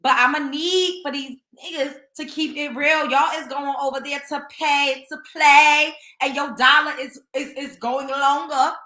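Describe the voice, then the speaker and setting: Brief: young woman host, blunt and scolding, high bright voice, echoey room. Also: steady tone, unhurried, few pauses. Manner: blunt, scolding, emphatic, repeats points. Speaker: show host. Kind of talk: opinionated commentary monologue.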